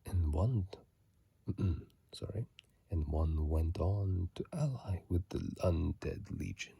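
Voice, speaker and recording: A person whispering ASMR commentary about a video game in a husky, breathy, halting way, recorded on a phone microphone. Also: low-pitched, warm tone, no echo. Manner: hesitant, halting, repeats words. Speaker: host of an ASMR channel.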